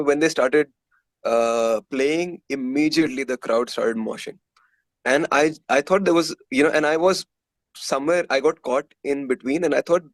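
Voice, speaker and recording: Casting: male musician guest, Indian accent, mid-pitched voice, dry recording, candid conversational storytelling. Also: dry recording. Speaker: musician being interviewed. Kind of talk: casual interview storytelling.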